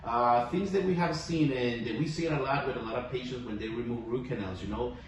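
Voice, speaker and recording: A man's voice: medium pitch, brisk. A man, a dentist from Mexico with a Mexican Spanish accent, chatting casually with a patient and filling his talk with "okay?" and "you know?". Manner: friendly, rambling, tag questions like "okay?" and "you know?". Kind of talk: casual clinic testimonial chat. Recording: echoey room, far from mic, audible noise.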